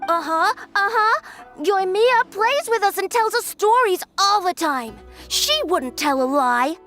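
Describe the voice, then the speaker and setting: A woman's voice: extremely high pitch, animated, unhurried, bright, forceful tone, soft background music, exaggerated voice. A woman voicing a stubborn young child from a village who insists a monster is real.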